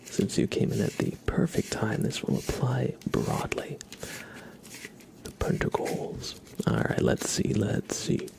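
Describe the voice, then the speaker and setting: A man hosting an ASMR livestream, speaking gently and unhurriedly in a breathy whisper with some gravel in it. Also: lowish voice, huge pitch swings, a little hiss or hum, poor audio. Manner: soft-spoken, gentle, unhurried. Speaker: ASMR live streamer and host.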